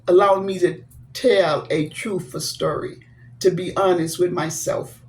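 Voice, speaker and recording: Seventy-year-old woman, unhurried and sincere, devout and encouraging, faint hiss behind. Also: lowish voice, a little mellow, rough recording. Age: 70s. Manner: slow, earnest, encouraging; devout, blessing viewers. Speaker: vlogger. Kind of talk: personal storytelling to camera.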